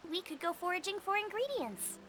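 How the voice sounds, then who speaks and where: A woman voicing a tiny, childlike, excitable fairy companion with a squeaky voice in a video game. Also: brisk, a little hiss or hum, exaggerated voice. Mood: idle, chirpy.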